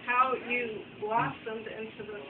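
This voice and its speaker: A woman's voice: fairly high pitch, flat delivery, takes it slowly. Echoey, distant woman asking from the audience. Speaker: audience member asking a question. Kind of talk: informal spoken question. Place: bookstore reading event. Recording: distant, echoey, very noisy, muffled.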